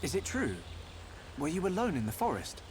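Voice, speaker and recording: Earnest young hero, animated. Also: male voice, high voice, normal speed, poor audio. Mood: concerned. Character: earnest, gentle, eager young male hero. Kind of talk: dramatic video game acting. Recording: clear background ambience noise.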